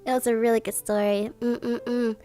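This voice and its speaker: A woman's voice: fairly high pitch, level tone, moderate pace, warm tone. A woman livestreamer with a cutesy, gushing way of talking, chatting to viewers and reading bedtime stories. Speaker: streamer, host.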